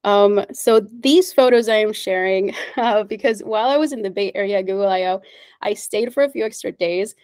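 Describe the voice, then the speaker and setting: An upbeat woman whose first language is Spanish leads an online meetup over a video call. Her voice sits in a middle pitch, and the sound is dry and close with no room echo. She keeps a friendly presenter tone, with fillers and little laughs along the way. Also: normal speed, a little mellow. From United States.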